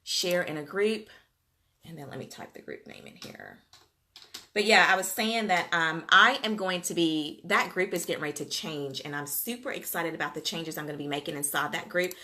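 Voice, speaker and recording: A woman with a Southern US accent chats to her livestream viewers in a folksy, talkative way. Her voice is bright and forward, with an easy, homespun flow. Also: on the low side, expressive, moderate pace. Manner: chatty, folksy, addresses viewers as "you guys" and "y'all". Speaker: livestream host, daycare business coach. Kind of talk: casual livestream chat to viewers. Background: Gulf Coast.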